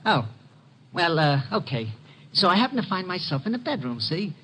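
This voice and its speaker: An actor playing a nervous, stammering sneak thief with slangy, uneducated speech in an old radio detective drama. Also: male voice, sits high, very animated, normal speed, bright, forceful tone, a little hiss or hum, full character voice, poor audio. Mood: nervous, evasive. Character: nervous, very tall small-time sneak thief. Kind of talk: 1940s-50s radio detective drama acting.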